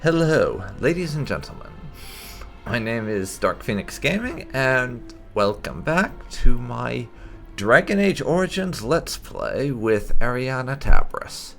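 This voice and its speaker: A man's voice: big pitch swings, takes it slowly, gravelly, a bit bright, faint music behind. A man hosting a let's play, recapping the story and chatting in a wry, casual way. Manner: wry, swings between high and low tones. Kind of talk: casual game recap and commentary.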